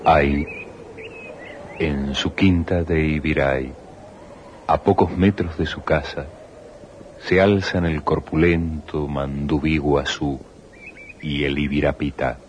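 A man giving solemn, literary narration for a radio drama in a grave, measured way. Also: a bass voice, steady tone. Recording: noisy 1980s radio recording.

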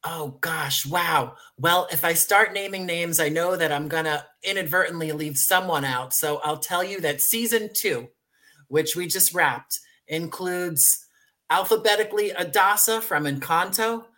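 A man's voice: fairly high pitch, a little slow, sharp tone. A man speaking as an interview guest, presenting his creative project in an articulate, promotional way. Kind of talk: interview conversation.

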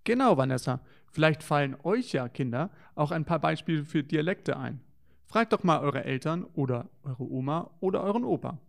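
Man, children's co-host, playful and curious, slightly high pitch, gravelly voice. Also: animated, normal speed. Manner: playful, wondering, child-directed. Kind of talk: scripted children's educational dialogue.

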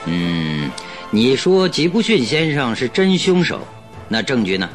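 An actor playing a confident, commanding detective in a radio drama, with a brisk, sardonic, probing manner. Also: male voice, mid-range voice, very animated, music in the background.

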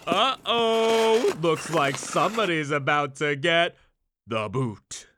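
A man playing a showy, theatrical announcer of a kids' game show, with dramatic drawn-out delivery. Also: fairly high pitch, animated, bright, forceful tone, faint music behind, heavily acted. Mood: excited commentary.

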